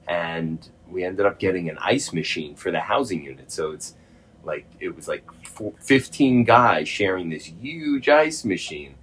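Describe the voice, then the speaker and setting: A middle-aged man in a casual phone conversation, speaking informally and haltingly, with some swearing. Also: deep voice, slightly rough. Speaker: host, former prisoner.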